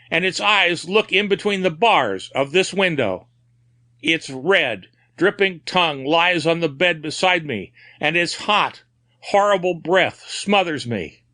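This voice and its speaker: Male reader voicing a refined madman, haunted and feverish, raised high and urgent. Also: sharp tone, full character voice. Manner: feverish, confiding, breaking into frantic cries. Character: frantic, haunted male asylum patient, refined.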